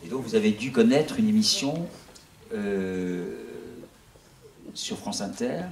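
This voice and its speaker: Older guy rambling to a small crowd, lowish voice, echoey room, mic sits far away. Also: animated, slow pace, gravelly, a little mellow, slight background noise. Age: 60s. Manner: rambling, with many restarts and fillers. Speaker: founder of an online news outlet. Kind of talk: casual talk to an audience.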